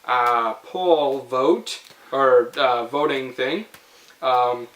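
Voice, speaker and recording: Young male vlogger, playful and rambling storyteller, forceful bright tone, roomy echo. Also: medium pitch, very slow. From Canada. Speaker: host of a storytelling video series. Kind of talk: casual comic storytelling to viewers.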